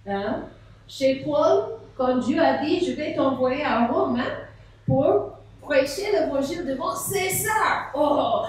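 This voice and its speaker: A woman's voice: high-pitched, slight background noise. A woman pastor, preaching a sermon and telling a story with rhetorical questions. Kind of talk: sermon at a seminar. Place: large echoey church hall. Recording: echoey hall from far off.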